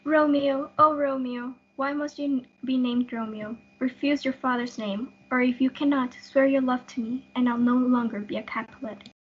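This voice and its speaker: Woman acting lovestruck girl, conflicted, high-pitched, husky, student reader's theatre, dull audio. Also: fairly even intonation, normal speed, few pauses. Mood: yearning.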